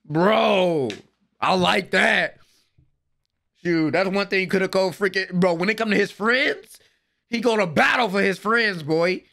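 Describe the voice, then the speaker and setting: A young man doing excited live reaction commentary, slangy and exclamatory, repeating words, on a close mic in a small room at home. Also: sits high, a little slow, gravelly. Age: young adult. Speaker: anime reaction host. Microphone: close desk microphone with headset on.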